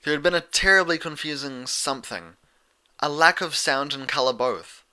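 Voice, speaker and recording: A man's voice: fairly high pitch, moderate pace, bright, forceful tone, smooth. A man reading a story aloud as a scripted audiobook narrator.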